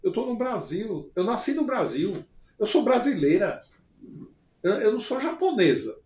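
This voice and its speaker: A man voicing a grateful woman client who has taken charge of her home. She speaks emphatically, and her pitch rises and falls widely. The sound is roomy and echoey. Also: sing-song, moderate pace, a little mellow. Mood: emphatic. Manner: emphatic retelling in first person. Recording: roomy echo.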